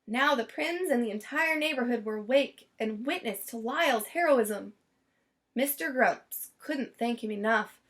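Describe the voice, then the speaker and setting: A woman reading a children's picture book aloud as storyteller, expressively and with deliberate dramatic emphasis. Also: a little high, a bit bright.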